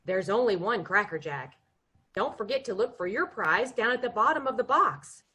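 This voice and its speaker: A woman's voice: medium pitch, a bit bright, slightly rough. A woman reading a vintage radio ad and the show announcement in announcer style.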